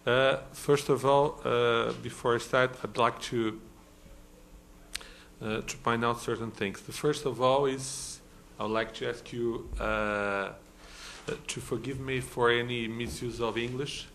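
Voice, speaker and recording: A man's voice: medium pitch, fairly even intonation, unhurried, a bit bright, slight background noise. A man from Brazil with a Brazilian Portuguese accent, a law professor speaking at a conference, hesitant, with many fillers and restarts. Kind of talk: conference talk. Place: conference hall.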